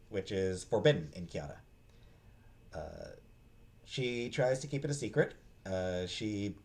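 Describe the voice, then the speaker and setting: A man giving a casual, unscripted book talk in a halting way, searching for his words. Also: fairly low pitch, a little mellow. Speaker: book reviewer, host.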